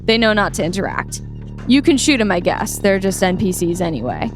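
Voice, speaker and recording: A woman's voice: mid-range voice, very animated, fairly quick, over music, lightly acted. A woman playing a cocky, callous rich teenage boy gamer in an audio play. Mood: smug, offhand. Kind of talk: audio play table read.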